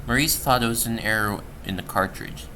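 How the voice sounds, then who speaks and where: A man reading a scripted horror story as narrator, somewhat halting with stumbles, on a home recording. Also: on the low side, sharp tone, slight background noise, low-quality recording. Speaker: storyteller, channel creator.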